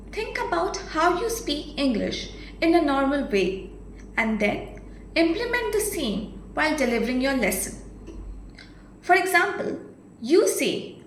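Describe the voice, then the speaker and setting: A woman giving an instructional training talk as a program manager, with deliberate, emphatic enunciation. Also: sits high, a bit bright. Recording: roomy echo, faint background noise.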